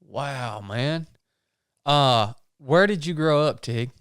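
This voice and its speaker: A man's voice: mid-range voice, expressive, takes it slowly, fairly bright tone, clean tone, no echo, clean studio sound. A man hosting a podcast, talking in an earnest, folksy, casual way and often tagging his sentences with "man". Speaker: podcast host. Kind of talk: casual interview chat.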